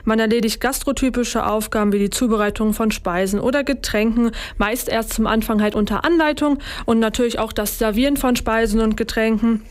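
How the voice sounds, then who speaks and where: A woman's voice: fairly high pitch, fairly quick, hardly any pauses. A woman, a newly qualified hospitality worker speaking steadily as a radio interview guest. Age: 20s. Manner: steady, explanatory, some fillers. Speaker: recent hospitality trainee.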